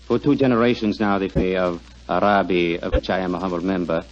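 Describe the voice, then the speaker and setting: A man's voice: deep voice, steady tone, a little fast, a little hiss or hum, close to an everyday voice. An actor playing a humble, solemn Egyptian man with a formal, deferential way of speaking, in 1940s radio mystery style. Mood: solemn, resolute. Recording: dull, lo-fi vintage radio recording.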